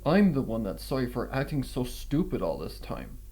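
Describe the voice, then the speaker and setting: A man's voice: mid-range voice, big pitch swings, moderate pace, warm tone. Man reading as a sorrowful, apologetic young male dragon. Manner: hesitant, earnest.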